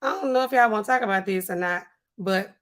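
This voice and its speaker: Hoarse woman pharmacist on a livestream. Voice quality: husky, weak voice. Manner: animated, drops low and slow to sound stern. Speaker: pharmacist streamer. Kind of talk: casual livestream chat reading comments. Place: home studio with neon sign.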